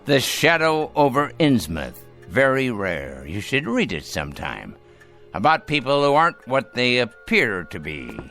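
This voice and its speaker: An actor playing a wealthy, sardonic old grandfather with a dry, unhurried manner in a radio drama. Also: mid-range voice, wildly swooping pitch, bright, forceful tone, soft background music, full character voice. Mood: wry, patient. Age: elderly. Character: sardonic, dying old rich patriarch, slyly patient.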